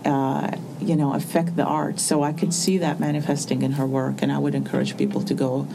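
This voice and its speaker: Very low-voiced woman, hesitant. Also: level tone, creaky, noisy background. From Iraq. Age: 40s. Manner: hesitant, with many pauses and fillers. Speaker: artist interviewee. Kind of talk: reflective interview answers. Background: soft music underneath.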